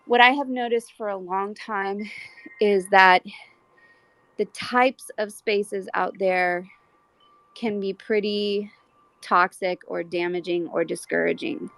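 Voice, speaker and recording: A woman's voice: mid-range voice, fairly even intonation, slow, vocal fry, fairly bright tone, dry recording, low-quality recording. A woman talking casually and reflectively in an online group discussion, earnestly thinking aloud and hedging as she goes. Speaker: speaker in an online audio community discussion.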